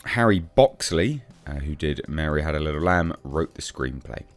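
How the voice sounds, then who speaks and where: A man's voice: extremely low pitch, animated, normal speed, dry recording, faint music behind. A man giving casual, off-the-cuff commentary on film news as a reviewer, blunt and sceptical in manner. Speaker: film reviewer, channel host.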